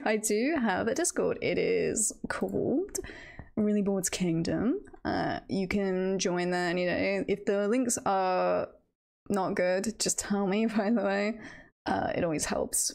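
A woman streamer chatting casually to her live audience in a nervous, excited, giggly and rambling way. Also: medium pitch, gravelly.

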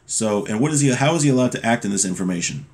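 Male teacher, 30s, middle-pitched, explanatory and informal, reading a text and talking it through at a desk at home. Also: lively intonation, fast talker, darkish tone. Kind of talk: informal lesson, reading Hebrew text aloud and explaining it in English. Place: small home room with bookshelves, indoors.

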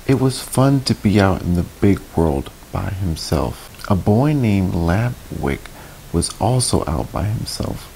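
A man in his thirties reading a children's storybook aloud, deliberately and expressively, with friendly asides. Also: low voice, creaky, dark tone, low-quality recording. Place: small indoor room, seated on a couch. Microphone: clip-on lapel mic. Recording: faint background hiss.

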